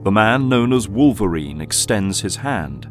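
Man narrating an audio drama, fairly low voice, dramatic read, music behind him. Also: animated, measured pace.

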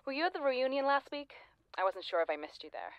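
An actress playing a lonely, persistent former classmate, probing and wryly blunt, in a naturalistic audio drama. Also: female voice, high-pitched, big pitch swings, fast, dry recording, lo-fi sound. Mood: eager.

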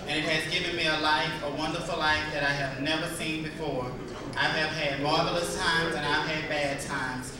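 A man in his twenties answering a pageant question on stage in a steady, slightly formal way. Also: a little high, moderate pace. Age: 20s. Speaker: pageant contestant. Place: large stage in a hall. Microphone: handheld mic through hall speakers. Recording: echoey hall taped from far off, noisy.

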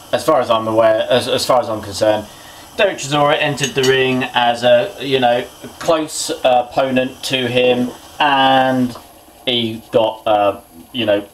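A man's voice: lowish voice, a little hiss or hum, low-quality recording. Man, thirties, rambling kitchen chat, mutters to himself, built-in camera mic. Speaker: boxing vlogger. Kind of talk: casual chat while cooking. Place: small home kitchen indoors.

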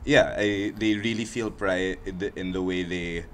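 Deep-voiced young Filipino man, relaxed and thoughtful. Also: unhurried, a little hiss or hum. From Baguio, Philippines. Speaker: podcast guest, musician. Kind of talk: casual chat. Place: studio room full of gear and shelves. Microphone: handheld mic on a stand.

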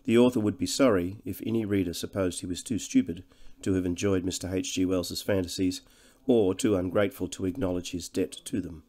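A man reading literary fiction as an audiobook narrator, in a measured, deliberate storytelling manner with dramatic emphasis. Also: low voice, steady tone, warm tone.

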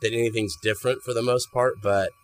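A man's voice: mid-range voice, fairly even intonation, brisk, slight background noise. A man, a barbershop owner chatting casually in an interview inside a small shop, often repeating words as he thinks. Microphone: handheld mic held by interviewer.

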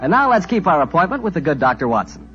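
A man's voice: big pitch swings, fast talker, loud music behind the voice. A man announcing and reading a friendly, folksy radio wine ad. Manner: friendly, folksy salesman pitch. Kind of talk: old-time radio ad read. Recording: dull old radio broadcast.

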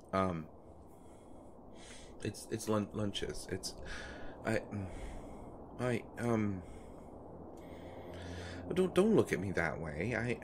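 A low, slow voice from a male actor plays a nervous young man, eager to please his partner. He stammers and halts his way along in an intimate audio drama. Some background noise sits under the voice. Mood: sheepish, flustered. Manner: halting, stammering, flustered. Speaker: audio roleplay performer. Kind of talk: intimate one-sided audio roleplay drama.

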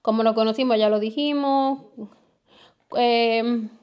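A young woman from the Republic, chatting casually with her partner and answering viewer questions in quick, giggly run-on strings. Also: fairly high pitch, steady tone, highs cut off. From Dominican Republic. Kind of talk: casual chat.

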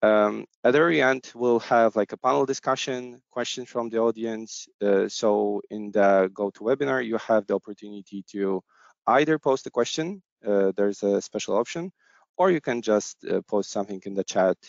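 Gravelly low-voiced man hosting a webinar. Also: normal speed, dry recording. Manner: hesitant, many fillers and restarts. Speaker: agile coach, webinar host. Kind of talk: online webinar presentation. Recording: dull, lo-fi video call audio.

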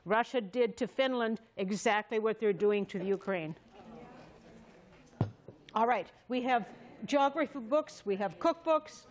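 A woman's voice: on the high side, unhurried, pauses often. A woman in her seventies, chatty and effusive, hosting an informal cooking demonstration. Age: 70s. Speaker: cooking class host. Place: large wood-paneled community hall.

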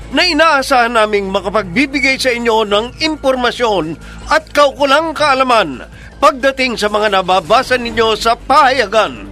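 Filipino male radio-drama announcer: high-pitched, sweeping intonation, declamatory, music behind him. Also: sharp tone. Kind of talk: dramatic scripted radio narration.

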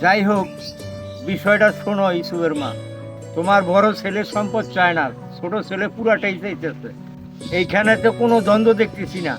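A man with a Bangladeshi accent playing a calm village chairman judging a dispute in a family drama. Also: high-pitched, fairly quick, close to an everyday voice. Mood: judicial. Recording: background music over dialogue.

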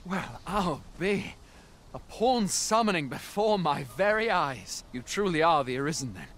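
Animated, wary soldier. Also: male voice, a little high, unhurried. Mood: puzzled. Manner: archaic, theatrical phrasing. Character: armored soldier, gruff, wary, puzzled but helpful man. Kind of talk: fantasy game voice acting with archaic speech.

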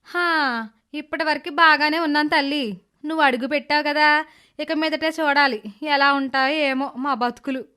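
A woman's voice: high voice, fairly even intonation, moderate pace, bright, forceful tone. A woman voicing a bitter, hot-tempered stepmother who scolds and complains in a dramatized moral story. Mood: grudging, sarcastic. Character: bitter, hot-tempered stepmother, grudging housewife and doting mother.

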